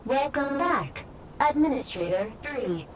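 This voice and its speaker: A woman voicing a formal facility computer in a video game, making measured, clipped system announcements. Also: high-pitched, animated, heavy background noise.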